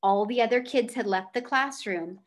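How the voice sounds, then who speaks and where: A woman's voice: a little high, normal speed. A woman reading a children's novel aloud as a storyteller. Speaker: read-aloud reader.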